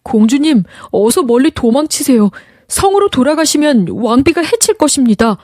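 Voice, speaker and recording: A woman voicing a soft-hearted male royal servant in a storybook, speaking formally and earnestly with deference. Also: high-pitched, animated, moderate pace, dry recording, clean studio sound, pitched above the performer's own voice. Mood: urgent.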